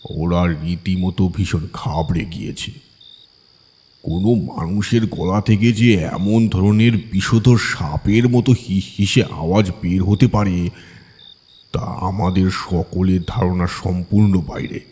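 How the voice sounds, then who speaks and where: A man's voice: low-pitched, mellow, husky. A man narrating a scripted horror story as an audiobook reader. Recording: long hall-like reverb.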